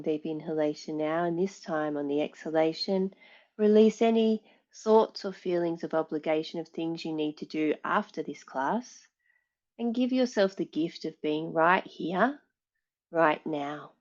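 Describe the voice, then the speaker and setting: A woman teaching yoga nidra, guiding relaxation in a calm, soothing, measured way with long pauses. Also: sits low, highs cut off. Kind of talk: guided relaxation, meditation instruction.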